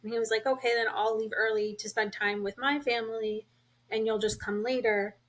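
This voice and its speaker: A woman co-hosting a podcast in casual chat, asking questions and thinking out loud. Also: a little high, brisk. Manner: relaxed, asks questions, thinks aloud. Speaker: podcast co-host.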